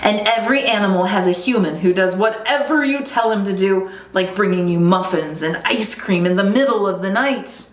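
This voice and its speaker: A woman's voice: medium pitch, moderate pace, few pauses, lightly acted. Woman voicing a boastful little boy lion cub. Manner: boastful, earnest bragging. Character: boastful young male lion cub telling tall tales. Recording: roomy echo, faint background noise.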